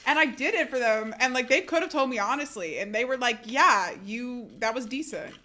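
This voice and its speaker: Bright-voiced chatty woman streamer. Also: on the high side, a little fast. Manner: chatty and self-deprecating, laughs often, reads chat aloud. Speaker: livestreamer. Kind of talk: casual chat with a live audience.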